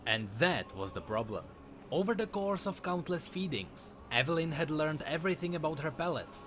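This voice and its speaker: A man narrating a dark fantasy story from a script in a dramatic storytelling style. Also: a little high, expressive, moderate pace, a little hiss or hum. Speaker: lore narrator.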